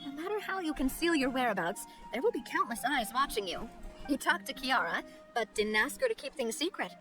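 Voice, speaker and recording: A woman's voice: high-pitched, animated, a little fast, sharp tone, soft background music. A woman playing a confident, playful young woman with underworld contacts in a video game, breezy and knowing. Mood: brisk, knowing. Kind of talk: video game dialogue acting.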